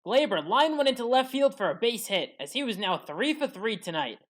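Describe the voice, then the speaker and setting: A woman delivering a scripted play-by-play recap as a sports highlights commentator. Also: big pitch swings, brisk.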